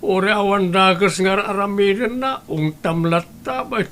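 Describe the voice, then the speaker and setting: A man playing an elderly, concerned grandfather in an audio drama, gently scolding with an Ilocano accent. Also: high voice, unhurried. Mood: concerned, reproachful.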